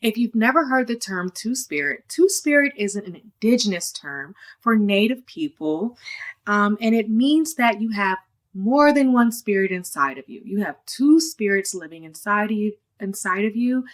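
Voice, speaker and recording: A woman's voice: mid-range voice, expressive, normal speed. Woman reading a picture book aloud. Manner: friendly, explanatory. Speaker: storybook reader. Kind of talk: read-aloud narration with casual explanatory asides for children.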